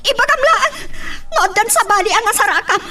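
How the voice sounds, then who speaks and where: High-voiced, hurt Ilocano wife played by an actress. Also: female voice, expressive, brisk, sharp tone, distant mic, exaggerated voice. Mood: hurt, accusing. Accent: Filipino, Ilocano. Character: suspicious, hurt young wife doubting her husband. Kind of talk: Filipino radio-style romance drama acting.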